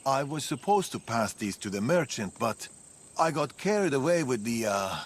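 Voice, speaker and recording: A fond, dreamy homebody in a video game cutscene. His medium-pitched voice is husky and swings expressively. He speaks, poetic and gently playful. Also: very animated, normal speed.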